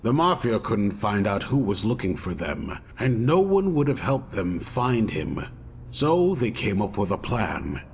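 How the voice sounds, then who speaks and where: A serious, resolute investigator in a mystery game. His voice is low with very animated intonation as he briefs a detective. Faint noise sits behind him. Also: unhurried. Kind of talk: scripted game cutscene dialogue.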